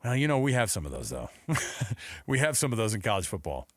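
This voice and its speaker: A man's voice: medium pitch, big pitch swings, brisk, gravelly, dry recording. Joking male sports radio co-host, dry studio sound. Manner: joking, sarcastic. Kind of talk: casual sports talk banter.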